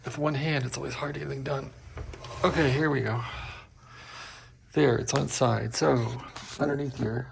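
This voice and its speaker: Man at a workbench, gravelly voice, relaxed chatty walkthrough of a restored radio, mid pitch. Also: normal speed, noisy background. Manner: chatty, explains as he works. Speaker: equipment restorer demonstrating a receiver. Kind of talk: casual hands-on demo.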